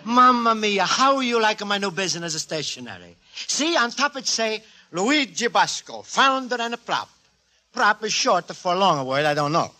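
Cheerful Italian immigrant, Italian accent, broken English, high pitch, swooping earnest delivery, old radio comedy. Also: male voice, very animated, moderate pace, bright, forceful tone, slight background noise, exaggerated voice. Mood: earnest, proud. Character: naive, cheerful Italian immigrant antique dealer, earnest man. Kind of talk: 1940s radio comedy acting. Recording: 1940s radio recording, live studio audience.

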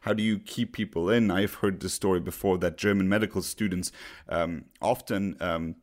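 A very low-voiced man hosts a podcast in casual discussion. He pauses often with ums and uhs and restarts his sentences as he lays out his view. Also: steady tone. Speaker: podcast host.

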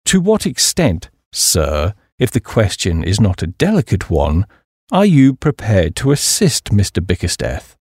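A man voicing an unflappable, deferential English butler with a formal, measured and courteous manner. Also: on the low side, wildly swooping pitch, a little mellow, slightly rough, no echo, clean studio sound, pitched below the performer's own voice, exaggerated voice. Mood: calm, deferential.

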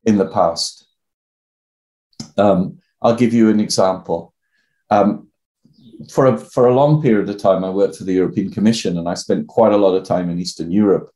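Reflective Welsh man, lowish voice. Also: mellow. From the South Wales Valleys. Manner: halting and reflective, with many restarts. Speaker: guest. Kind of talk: reflective personal interview talk.

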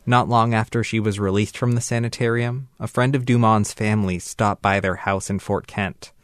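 A man reading a scripted radio news report in a measured, even delivery. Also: fairly low pitch, dry recording, clean studio sound.

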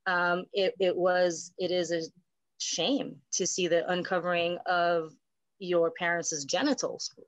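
A woman's voice: lowish voice, flat delivery, takes it slowly, no room sound. A woman pastor co-hosting a Bible study, explaining conversationally and often checking in with "right?".

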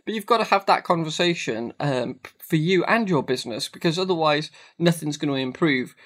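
A man with a cold, talking casually to camera about business responsibility, repeating phrases and filling pauses with ums. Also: fairly high pitch, expressive, fairly bright tone. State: has a cold, sniffly. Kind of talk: casual advice monologue.

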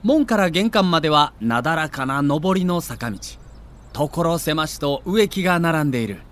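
A man's voice: on the high side, animated, moderate pace, fairly bright tone. A man reading a scripted documentary narration with a theatrical storyteller's delivery.

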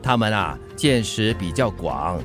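A man's voice: fairly low pitch, lively intonation, measured pace, over music. A man with a Singaporean accent playing a chatty, good-natured older delivery driver in a radio drama, full of sighs and exclamations. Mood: reflective. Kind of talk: Mandarin radio drama acting.

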